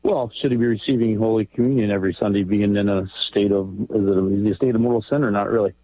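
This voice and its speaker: Man, caller on a tinny phone line, low voice, hesitant. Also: fairly even intonation, no echo. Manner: hesitant, halting. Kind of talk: phone call to a radio show.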